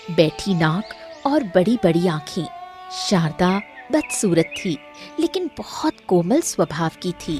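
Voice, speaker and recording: Female storyteller narrating a moral tale, takes it slow, soft music bed behind. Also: lowish voice.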